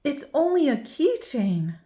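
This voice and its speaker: A woman voicing a calm, sensible schoolgirl in a children's storybook, matter-of-fact. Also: sits high, a little slow, pitched above the performer's own voice.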